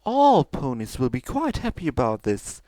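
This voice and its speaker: A man's voice: medium pitch, wildly swooping pitch, moderate pace, mellow, no room sound, pitched above the performer's own voice. A man voicing an imperious, pushy female pony princess who commands and soothes with condescending sweetness in a fantasy story. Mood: pleased.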